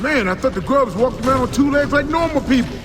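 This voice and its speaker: Game soldier: very high-pitched, jittery wisecracker, swinging delivery, brash and whiny. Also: male voice, expressive, quick pace, in a large hall, over music. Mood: sarcastic griping. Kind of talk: video game cutscene acting.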